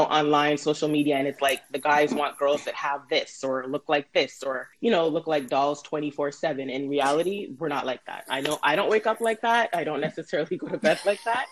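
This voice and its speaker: A woman from Trinidad speaking casually as a panel guest, using lots of "like" and "you know.". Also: deep voice.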